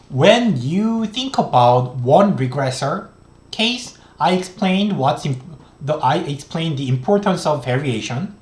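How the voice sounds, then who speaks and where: A man in his thirties, a native Korean speaker with a Korean accent, lecturing on economics in a halting, step-by-step way with many restarts. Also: a little high, darkish tone, reverberant. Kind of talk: recorded online lecture. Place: small room indoors.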